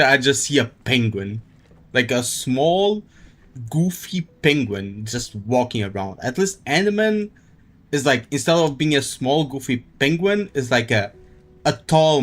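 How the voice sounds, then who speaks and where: A man's voice: mid-range voice, big pitch swings, a little slow, fairly bright tone. A man doing casual livestream commentary on a game, with a crude, slangy, joking manner.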